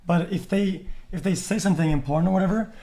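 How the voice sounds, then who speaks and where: A young male streamer reacts to a video on stream. His voice sits a little high, and he rambles bluntly and crudely with frequent restarts. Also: fast talker, mellow. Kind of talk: casual reaction commentary.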